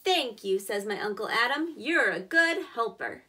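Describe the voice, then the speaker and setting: A woman's voice: fairly high pitch, animated, measured pace, sharp tone, slight background noise. A woman in her thirties reading a picture book aloud to preschoolers as their teacher, with simple, encouraging explanations, recorded indoors a short distance from the microphone. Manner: clear, simple, encouraging address to young children. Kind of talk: children's story read-aloud with explanatory asides.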